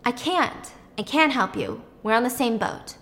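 A woman's voice: a little high, lively intonation, unhurried, vocal fry, a bit bright, big-room sound, heavily acted. A woman voicing a weary, resigned young captive woman in a story, frank and matter-of-fact.

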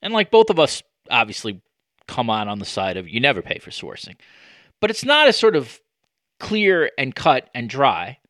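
Bright-voiced male podcast host, close and dry. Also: mid-range voice, huge pitch swings, normal speed, dry recording. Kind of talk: conversational sports media interview.

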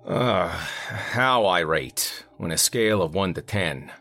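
A man's voice: low voice, expressive, slow pace, sharp tone, soft background music, exaggerated voice. A man playing a harried night-shift manager. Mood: weary. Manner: polite, strained customer-service tone. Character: harried middle-aged male customer service manager.

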